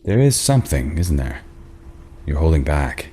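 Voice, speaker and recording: A man's voice: rumbling low, very animated, normal speed, warm tone, husky, heavily acted. A man playing a discreet, probing auction agent in a table read of a horror film script. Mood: smooth, probing.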